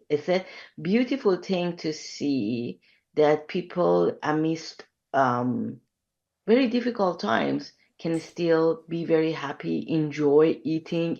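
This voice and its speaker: A woman in her fifties, from Iran, talks as a podcast guest in a deep, low voice. She is reflective and measured, often pausing with ums as she gathers her thoughts. The recording sounds slightly dull and lo-fi. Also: darkish tone. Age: 50s. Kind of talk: conversational interview.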